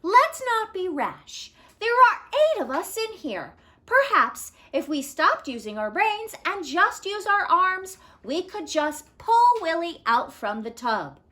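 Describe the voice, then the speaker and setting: A woman's voice: very high voice, very animated, takes it slowly, hardly any pauses, bright, forceful tone, pitched above the performer's own voice, exaggerated voice. A woman voicing a sensible, practical First Lady in a children's storybook, reasoning and reassuring. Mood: calmly persuasive. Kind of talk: children's storybook read-aloud.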